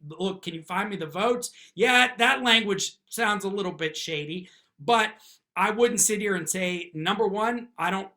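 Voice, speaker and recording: A man's voice: sits high, sharp tone. A man giving unscripted opinion commentary as a lawyer, posing questions and answering them himself, with emphatic check-in tags. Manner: emphatic, often tags points with "okay?". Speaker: lawyer commentator, video host.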